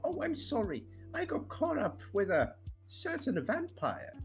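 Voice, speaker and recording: A man's voice: very high-pitched, wildly swooping pitch, measured pace, faint music behind, pitched above the performer's own voice, exaggerated voice. A man voicing a coy, teasing young student in an exaggerated amateur story reading. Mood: coy apology. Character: slight teasing student friend, sly and coy.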